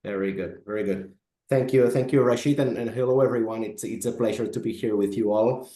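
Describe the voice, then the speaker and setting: A man's voice: lowish voice, brisk, mellow, reverberant. A middle-aged man from Spain, a business advisor, introducing himself in an online meeting, often stammering and repeating words. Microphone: video call.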